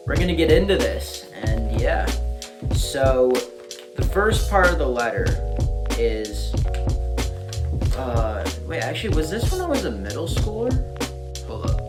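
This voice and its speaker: A young American man chatting casually to his viewers in a halting, informal way, thinking aloud as he goes. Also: medium pitch, big pitch swings. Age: young adult. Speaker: YouTuber. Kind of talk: casual vlog chat to viewers. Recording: music playing behind the voice. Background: background beat music.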